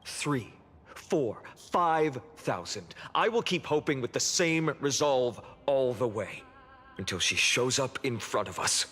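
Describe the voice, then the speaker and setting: A man acting a resolute young hero in a video game, speaking in a measured, earnest, dramatic way. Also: mid-range voice, animated, fairly bright tone, in a large hall, soft background music. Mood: solemn resolve.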